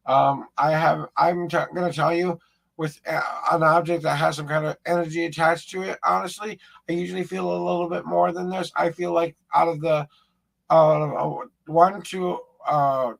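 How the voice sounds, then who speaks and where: Gravelly man rambling to camera. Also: fairly high pitch, a bit bright. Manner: rambling, plainspoken, restarts sentences. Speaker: paranormal investigator host. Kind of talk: casual talk to camera.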